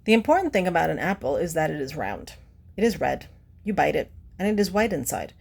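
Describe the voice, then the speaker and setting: A woman's voice: deep voice, vocal fry, darkish tone, slightly rough. A woman reading a picture book aloud to children, adding simple teacherly explanations and putting questions to the listener. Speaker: children's read-aloud presenter.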